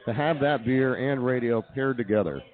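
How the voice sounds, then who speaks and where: A man's voice: mid-range voice, moderate pace. An American man hosting a comedy radio show, telling wordy, mock-confessional stories in casual banter. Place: live remote broadcast at a brewery. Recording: tinny, phone-like sound.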